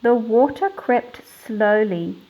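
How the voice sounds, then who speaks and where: A woman with an Australian accent presenting a picture book for children, explaining things in simple, clear phrases. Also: on the high side, lively intonation, slow pace, muffled recording. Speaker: read-aloud host for children.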